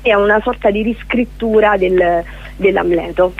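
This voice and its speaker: Over a narrow, tinny telephone connection, a woman speaks as a radio interview guest. Her voice sits at a middling pitch. She explains things earnestly and leans on repeated words for emphasis. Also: fairly even intonation, normal speed, slightly rough. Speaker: interview guest, prison theatre project leader. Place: on a phone call to a radio show. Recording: narrow tinny phone line.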